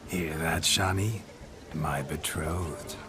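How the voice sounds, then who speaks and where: Gruff deep monster hunter, cocky flowery talker, game cutscene with loud music under. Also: male voice, slow. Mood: merry. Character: gruff monster hunter possessed by a boisterous reveller's ghost. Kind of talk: video game voice acting.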